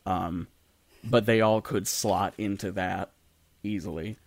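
A man's voice: deep voice, steady tone, takes it slowly, creaky, dry recording. A man co-hosting a podcast, talking informally and thinking aloud in a casual discussion. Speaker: podcast co-host. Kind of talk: casual discussion of storytelling in audio and stage.

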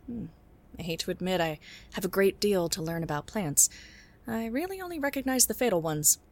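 A woman playing a sharp-eyed female private detective in a noir audio drama, speaking in a crisp, deadpan way. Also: mid-range voice, a little fast, natural voice. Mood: cool. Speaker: detective and narrator.

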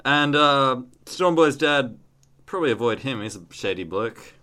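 A man's voice: mid-range voice, expressive, unhurried, pushed and bright. An Australian man chatting casually and comedically as a podcast host.

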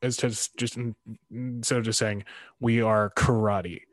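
A man in casual conversation, thinking aloud and giving his opinions in a halting way. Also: mid-range voice, flat delivery, no room sound. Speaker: karate practitioner in discussion.

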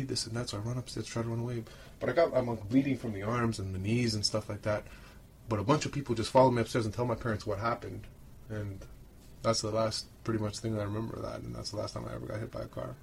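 A man's voice: medium pitch, steady tone, very fast, creaky, darkish tone, slight background noise. A man from Romania who moved to Canada as a child, co-hosting a podcast with rambling, colloquial personal stories. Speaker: podcast host. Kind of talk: casual chat, personal storytelling.

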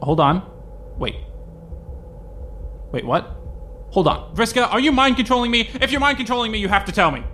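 A man playing an anxious young man in a fan audio drama, talking in a halting, rambling, self-questioning way. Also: sits high, lively intonation, a bit bright, slight background noise. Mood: panicked. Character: anxious young man facing his identity.

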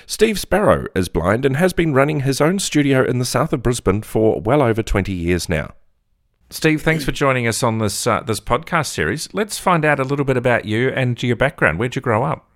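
A man hosting a podcast, introducing his guest and asking measured questions. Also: mid-range voice, sing-song. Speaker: podcast host, interviewer. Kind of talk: podcast interview.

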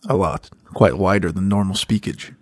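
A man co-hosting a casual podcast, making quick joking asides. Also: low-pitched, fairly even intonation, no echo, clean studio sound. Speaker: podcast co-host. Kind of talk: casual chat.

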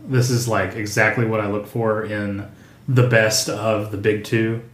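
A man's voice: fairly low pitch, little pitch movement, a little slow. A man co-hosting a casual podcast, hesitant and full of fillers. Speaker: podcast co-host. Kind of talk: casual chat. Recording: roomy small room.

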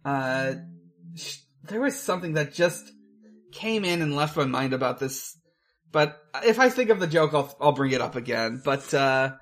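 A man's voice: a little high, expressive, moderate pace, fairly bright tone. A man co-hosting a podcast, talking casually about film in a hesitant way, thinking aloud as he goes. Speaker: podcast co-host.

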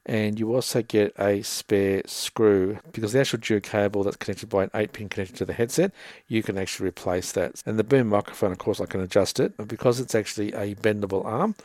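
A man with a low voice talks through a podcast gear demo in a plain, methodical way, explaining each part as he goes. The sound is close and dry, with little room tone. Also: a little fast, a little mellow, no room sound. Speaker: gear reviewer. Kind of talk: casual product demo. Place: room with air conditioner running. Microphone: headset boom mic, then desktop dynamic mic.

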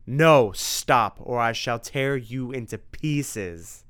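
A man voicing a vain, gloating vampire count in a read-aloud story, with loud melodramatic outbursts. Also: lowish voice, normal speed, smooth, natural voice. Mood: outraged.

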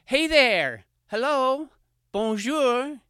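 A spoiled young vixen calls out high and shrill, pushing for attention, as a male storyteller puts her on. Her voice leaps up sharply and stays loud. The sound is dry and close. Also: drawn-out words, clear, resonant tone, no echo, exaggerated voice. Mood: eager. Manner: high excited calling.

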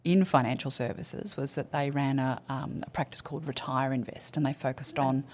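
A woman's voice: very deep voice, fairly even intonation, moderate pace. A middle-aged Australian woman, a finance professional, answering interview questions earnestly and often restarting her sentences. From Australia, central Queensland.

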